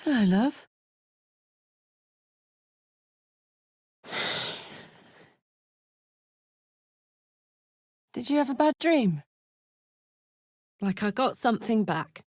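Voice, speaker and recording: Video game tiefling warrior woman: brash, warm-hearted, earthy and blunt. Unhurried delivery, dry studio sound. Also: fairly high pitch, sing-song, slightly rough, no room sound, full character voice. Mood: tender. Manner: blunt, earthy, informal soldierly talk. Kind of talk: video game character voice acting.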